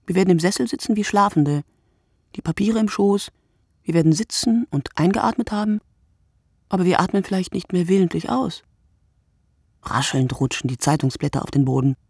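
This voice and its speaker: Gravelly, husky voice reading a poetic monologue. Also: medium pitch, lively intonation, normal speed, long pauses, a little mellow, no echo, clean studio sound. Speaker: reader of a radio play monologue. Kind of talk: literary radio play monologue, poetic scripted narration.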